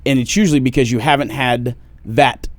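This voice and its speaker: A man in his thirties co-hosts a podcast in a mid-pitched voice, loose and joking, with casual swearing. The recording is close and dry. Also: fairly even intonation, fairly quick, no room sound. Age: 30s. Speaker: podcast co-host.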